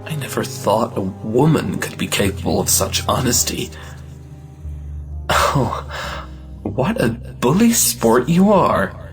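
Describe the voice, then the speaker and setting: An impetuous young suitor from an old stage comedy, acted by a man. The male voice is medium in pitch and very varied in melody, emphatic and possessive in an audio play style. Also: big pitch swings, takes it slowly, pauses often, faint music behind. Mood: earnest.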